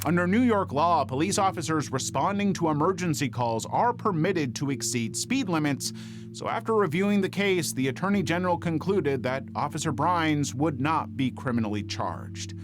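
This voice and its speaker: A man reading scripted true-crime documentary narration in a dramatic, measured storytelling manner. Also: a little high, lively intonation. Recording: faint music bed under narration. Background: background music.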